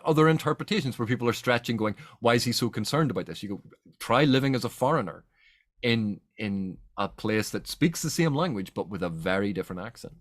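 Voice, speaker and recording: A man's voice: mid-range voice, lively intonation, fairly quick, dry recording, clean studio sound. A man from Northern Ireland with a Northern Irish accent, hosting a book discussion in an explanatory, emphatic way. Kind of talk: animated literary discussion.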